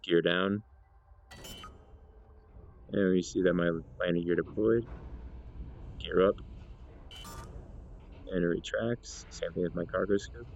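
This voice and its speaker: A man's voice: low-pitched, fairly even intonation, slow, gravelly, long pauses, a little mellow, dull audio, slight background noise. A man presenting a casual gaming demonstration, explaining things and correcting himself as he goes.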